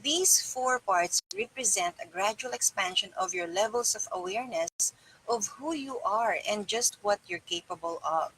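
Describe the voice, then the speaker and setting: A woman's voice: mid-range voice, normal speed, fairly bright tone, a little hiss or hum, low-quality recording. A middle-aged woman reading aloud for a live stream, with casual asides to her viewers. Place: small indoor room with bare wall.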